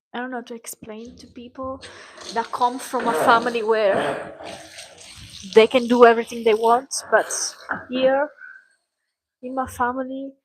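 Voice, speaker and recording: A woman's voice: on the high side, poor audio. A woman in her mid-twenties speaking in a personal vlog monologue, earnest and rambling, restarting her sentences often, with small grammar slips. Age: 20s. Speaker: vlogger.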